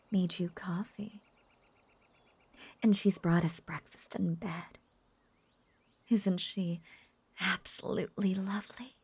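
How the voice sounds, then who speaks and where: A woman playing an obsessive, lovesick young witch who coos over a captive beloved, clingy and breathy with frequent whispers, in an intimate roleplay audio drama. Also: fairly low pitch, a little mellow, no room sound, full character voice. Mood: doting, playful. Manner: cooing, clingy, breathy, often dropping into whispers.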